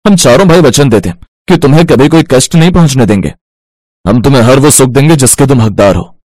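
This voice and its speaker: Man acting an animated, earnest young farmer. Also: mid-range voice, fast talker, no room sound, lightly acted. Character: young village farmer brothers, respectful, earnest, excitable.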